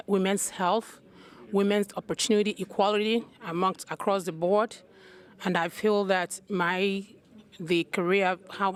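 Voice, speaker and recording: A woman from Nigeria with a Nigerian accent, answering questions as an interview guest. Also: on the low side. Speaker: interview guest, healthcare provider.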